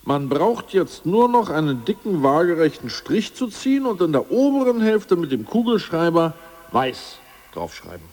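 A man's voice: on the high side, very animated, moderate pace, a little mellow, in a large hall. An actor playing an ousted male politburo member who eagerly plots aloud, in a 1980s radio drama.

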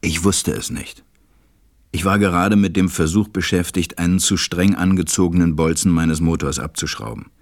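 Deep-voiced, reflective stranded pilot. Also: male voice, steady tone, dry recording, clean studio sound. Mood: reflective, worried. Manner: measured, reflective storytelling. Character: grown male stranded pilot, reflective, preoccupied narrator. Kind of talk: German radio play narration.

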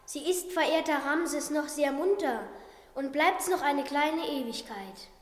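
A woman's voice: sits high, a little slow. A woman playing a schoolboy who acts an earnest teacher in a school play, declaiming rhymed verse. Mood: polite. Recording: cavernous echoing hall, loud background noise.

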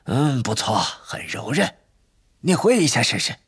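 Eccentric old storybook wandmaker: husky and breathy, highish swooping pitch. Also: male voice, big pitch swings, no echo, clean studio sound, exaggerated voice. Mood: brisk, muttering. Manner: slow, drawn-out, swooping delivery with muttered asides. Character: eccentric old male wandmaker, keen and theatrical.

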